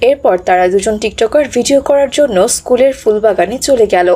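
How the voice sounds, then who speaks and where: A woman's voice: on the high side, a little fast, heavy background noise. A woman narrating a scripted cartoon story as the storyteller. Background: background music.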